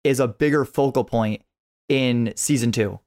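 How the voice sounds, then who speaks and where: A man's voice: mid-range voice, moderate pace, a little mellow. A man in a casual podcast chat, talking as an enthusiastic fan and often repeating himself as he goes. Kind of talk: casual chat about an anime.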